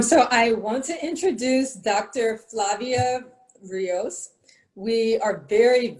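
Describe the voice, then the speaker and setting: A woman hosting a university webinar, introducing the guest speaker in an even, measured way with small fillers. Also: a little high, rough recording. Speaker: host, university professor. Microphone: video call.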